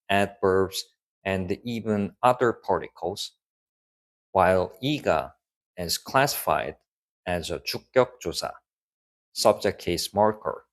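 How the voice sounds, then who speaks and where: A young man whose first language is Korean, giving a clear, scripted online lesson in English with Korean example sentences. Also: deep voice.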